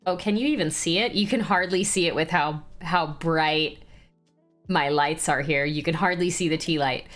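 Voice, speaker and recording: A woman's voice: deep voice, normal speed, a bit bright, faint music behind. A woman streamer with a congested voice, casually chatting and greeting her viewers by name. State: congested, clearing throat. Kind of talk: casual livestream chat.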